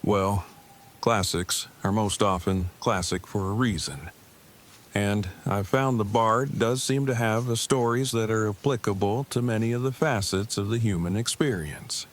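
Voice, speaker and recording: A man's voice: lowish voice, measured pace, slight background noise, natural voice. A man playing a polite, pedantic man with formal, wordy speech in an audio drama. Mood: measured. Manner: formal, verbose, precise wording. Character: polite, pedantic, formal-spoken man, easily embarrassed game master. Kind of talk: scripted audio drama acting.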